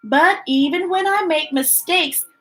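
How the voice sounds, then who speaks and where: Woman, children's story time host: bright, forceful voice, unhurried delivery, playful and expressive read-aloud with chatty asides. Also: extremely high pitch, soft background music. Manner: animated, gushing, playful.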